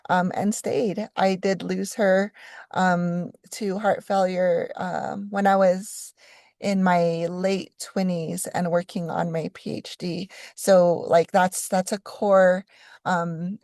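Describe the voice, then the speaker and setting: A middle-aged woman from New Mexico giving a conference keynote as a professor, speaking in an unhurried, reflective way with frequent ums and restarts. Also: lowish voice, a little mellow, dry recording, clean studio sound.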